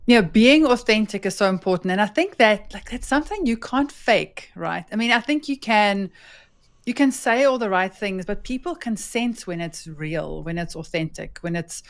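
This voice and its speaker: A woman's voice: mid-range voice, expressive, normal speed. A woman hosting a conversation, speaking earnestly and encouragingly about authenticity in leadership. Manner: earnest, encouraging, agrees often with "mm". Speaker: host. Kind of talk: casual conversation.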